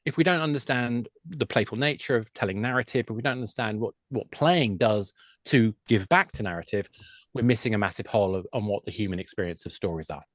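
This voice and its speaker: A man's voice: fairly low pitch, fast, no room sound. A man speaking as an expert podcast guest, explaining conversationally with enthusiasm and emphasis, often restarting to rephrase.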